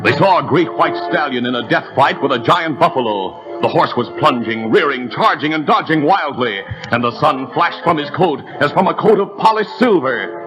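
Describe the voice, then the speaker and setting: A man's voice: on the high side, lively intonation, normal speed, over music, rough recording. A man narrating a 1930s radio adventure drama with a vivid, building delivery.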